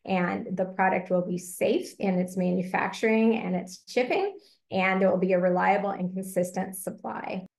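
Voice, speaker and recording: Middle-aged woman doctor on a video call, bit of vocal fry, earnest explaining. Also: medium pitch, steady tone, normal speed, darkish tone. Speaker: pediatrician, medical advisor. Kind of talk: webinar presentation.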